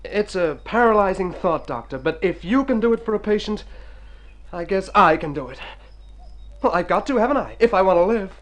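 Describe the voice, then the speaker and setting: A high-voiced, florid, plaintive hypochondriac. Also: male voice, lively intonation, a little fast, a bit bright. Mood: earnest resolve. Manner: florid, theatrical, wordy. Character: plaintive, earnest hypochondriac man, a convalescing city patient. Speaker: storyteller and lead character in a radio play. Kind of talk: Golden Age radio drama acting. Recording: old radio recording with music.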